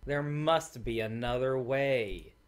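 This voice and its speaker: Irreverent, slow-paced game commentator. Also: mid-range voice, smooth. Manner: irreverent, crude joking, mock-dramatic reading aloud. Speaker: let's-player. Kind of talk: gameplay reaction commentary, casual chat. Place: indoors, voice over a visual novel playthrough.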